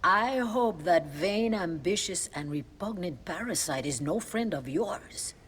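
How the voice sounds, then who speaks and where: Low-voiced Mexican nun, lively and devout. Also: female voice. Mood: gently disapproving. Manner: measured, devout, formal phrasing. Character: pious, compassionate Mexican mother superior, gently wry. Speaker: nun, Mother Superior. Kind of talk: video game cutscene acting. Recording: long reverb, faint background noise.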